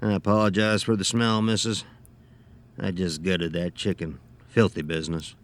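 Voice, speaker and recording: An actor playing a short, stooped, greying taxidermist in a horror audio drama, gruff, blunt and folksy in clipped, plain phrases. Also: male voice, low-pitched, level tone, moderate pace, lightly acted.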